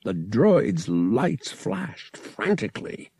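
A man's voice: on the low side, very animated, slow, gravelly, dark tone, husky, dry recording, studio quality. A man reading scripted fiction aloud as an audiobook narrator, in a dramatic storytelling style. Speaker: audiobook reader.

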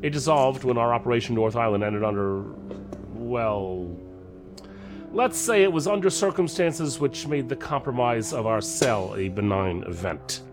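An actor playing a self-assured, guarded counterterrorism agent in an audio drama, formal and deliberate with dramatic pauses. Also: male voice, mid-range voice, animated, music in the background, lightly acted. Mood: guarded, official.

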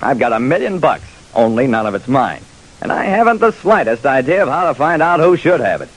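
A man's voice: medium pitch, sing-song, brisk, low-quality recording. A man playing a wry, dogged private detective with an American accent, narrating his case in an old-time radio drama. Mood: brisk, determined. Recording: faintly hissy vintage radio recording.

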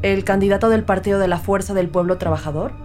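A woman's voice: mid-range voice, quick pace, darkish tone, soft background music, lightly acted. A woman playing an observant, empathetic rookie detective who narrates an audio drama in the first person. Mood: measured, reflective.

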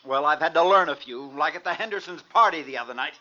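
A man's voice: on the high side, fast talker, bright, forceful tone. An actor playing an exasperated, defensive young American husband and father in an old radio drama, with an argumentative manner. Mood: irritated, defensive. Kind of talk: mid-century American radio drama acting. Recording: muffled, noisy old radio recording.

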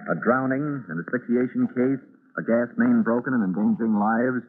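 A man's voice: medium pitch, fairly even intonation, brisk. A man narrating an old-time radio adventure serial as announcer, in a dramatic, theatrical manner. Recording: muffled, tinny old radio recording.

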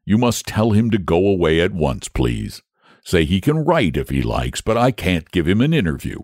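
A man voicing a lazy, gentle and cowardly male dragon who speaks in a languid, solemn drawl in a storybook. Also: low voice, sing-song, normal speed, no room sound, studio quality. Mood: dismayed.

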